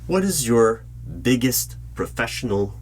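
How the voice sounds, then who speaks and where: A man playing a polite, probing hiring manager in an ASMR interview roleplay, speaking in measured phrases with deliberate pauses. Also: mid-range voice, big pitch swings, voice pushed up. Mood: businesslike. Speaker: job interviewer. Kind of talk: ASMR roleplay, spoken aloud. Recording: background noise with keyboard typing. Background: computer keyboard typing.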